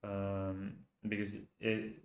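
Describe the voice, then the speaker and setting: A man from Finland with a Finnish accent, hosting a casual live stream in English and pausing often to restart his sentences. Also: lowish voice, monotone. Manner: hesitant, halting with many restarts. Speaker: channel host. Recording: roomy echo.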